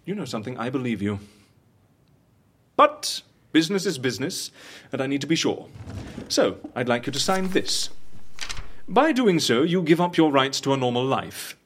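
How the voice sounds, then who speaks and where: An actor playing a suave, businesslike and wry agency fixer in an audio drama, articulate and persuasive with a theatrical way of talking. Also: male voice, medium pitch, big pitch swings, moderate pace, long pauses, a bit bright, slight background noise.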